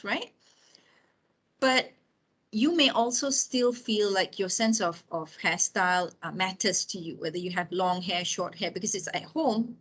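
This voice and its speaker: A woman's voice: on the low side, fairly bright tone, breathy edge. A woman in her fifties giving an informal lecture with personal anecdotes, talking conversationally and often checking in with her listeners. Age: 50s.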